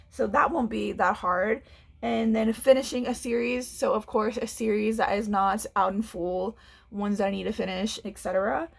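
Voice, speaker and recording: A woman's voice: mid-range voice, creaky, breathy edge. A young woman, a book vlogger chatting casually at home, a little congested, rambling and restarting as she talks. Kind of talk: casual chat to camera. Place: home room.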